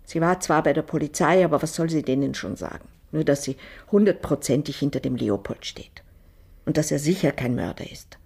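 A woman's voice: deep voice, brisk, gravelly, a little mellow, breathy edge, exaggerated voice. An actress playing an anxious, evasive, gossipy woman in a radio drama, confiding nervously.

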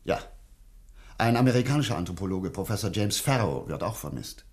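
An actor playing a polite, probing doctor who investigates a mystery in a radio play, speaking with courteous curiosity. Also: male voice, low voice, lively intonation, brisk. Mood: earnest, probing. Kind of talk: German radio play acting.

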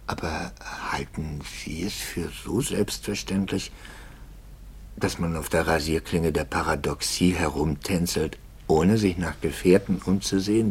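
Tormented doubting priest, deep creaky voice, earnest, old radio drama, sinks to near whisper. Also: male voice, full character voice. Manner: brooding, intense, often dropping to near whisper. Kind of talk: 1950s German radio play acting.

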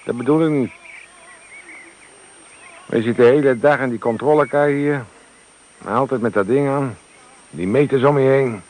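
An actor playing a curious, thoughtful radio inspector in a van, who talks in an easygoing, reflective way, in a radio play. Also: male voice, mid-range voice, normal speed, long pauses, a little mellow, close to an everyday voice, low-quality recording. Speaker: radio monitoring van operator. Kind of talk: radio drama dialogue.

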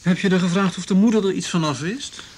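An actor in a radio drama playing a patient, courteous police officer who questions gently and politely coaxes. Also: male voice, sits high, quick pace. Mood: probing. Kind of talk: Dutch radio drama acting.

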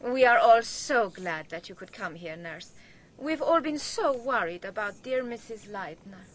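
An actress playing a gossipy, spiteful archaeologist's wife in a radio drama, insinuating and emphatic. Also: female voice, a little high, expressive, a little slow, fairly bright tone. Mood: falsely sweet.

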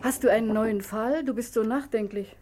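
An actress in a 1960s radio play, playing a chatty, attentive, curious wife of a police inspector. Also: female voice, a little high, moderate pace, mellow, natural voice. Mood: curious, concerned. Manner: chatty, solicitous. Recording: vintage radio recording with faint noise.

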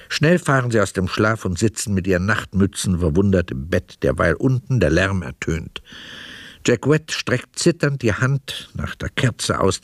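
Man, narrator, fairly low pitch, measured, scripted radio play narration, dry acoustics. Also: no room sound. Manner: measured, descriptive.

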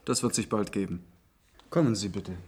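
An actor playing a polite, composed clinic doctor in a science-fiction radio play, giving courteous instructions. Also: male voice, lowish voice, normal speed, darkish tone, husky. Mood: calm, clinical.

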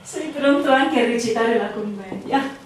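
Actress as wounded young barmaid in a radio play, highish emotional voice that swings around, super echoey and distant. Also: female voice, close to an everyday voice. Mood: bitter, wounded. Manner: emotional, dramatic pauses, swinging intensity. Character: anguished young barmaid, lover, suspicious and wounded. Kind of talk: theatrical stage-style radio drama acting. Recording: very echoey room, distant mic, faint noise.

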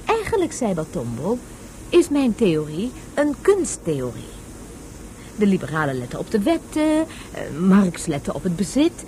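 A woman's voice: medium pitch, wildly swooping pitch, a little slow, warm tone, a little hiss or hum. An actress playing a patient woman tutor in a radio play, explaining things didactically as if to a child. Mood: emphatic. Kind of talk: Dutch radio play acting.

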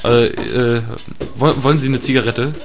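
A naive, chatty tourist, acted by a man, speaks at a middling pitch. He is hesitant and repeats himself, with little tag questions, over clear background noise with birds and clicks. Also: normal speed, natural voice. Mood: placid, chatty. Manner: hesitant, repetitive, keeps adding tag questions. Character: chatty, naive male tourist, placidly captured. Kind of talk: improvised absurd comedy audio play.

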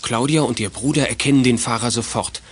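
Ein Mann erzählt als Sprecher ein Kinderhörspiel vom Manuskript, mit belegter Stimme. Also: male voice, mid-range voice, brisk, breathy edge, lightly acted. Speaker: narrator. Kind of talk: scripted children's radio play narration.

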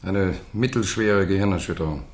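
An actor playing a calm, matter-of-fact doctor in a German radio crime drama. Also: male voice, low-pitched, moderate pace, lightly acted. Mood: calm, grave.

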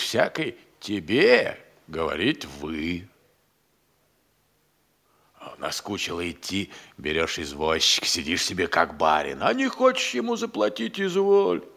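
An actor playing a hungry, idle, crafty manservant in an audio play, grumbling and sighing in folksy, speech. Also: male voice, on the low side, vocal fry, a bit bright, full character voice. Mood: relishing, boastful. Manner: folksy, drawling, sighing, grumbling, relishing his words. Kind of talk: theatrical audio-play monologue.

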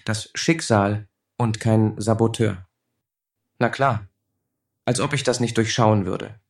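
A man's voice: lowish voice, steady tone, slow, vocal fry. A man playing a sarcastic, suspicious spaceship crew member in a satirical radio play. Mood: sarcastic suspicion.

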